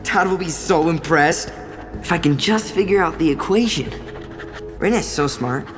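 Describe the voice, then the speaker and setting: A woman's voice: low voice, normal speed, close to an everyday voice. Earnest boy in a reverberant space, played by a woman. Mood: reflective. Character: earnest, nerdy young boy keeping a captain's log. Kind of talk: audio drama dialogue and diary narration. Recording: long reverb, music behind.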